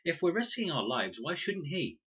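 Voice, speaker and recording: A man voicing a sharp, sarcastic young girl, raised pitch. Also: very animated, normal speed, husky, lo-fi sound, full character voice. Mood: challenging. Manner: challenging, argumentative.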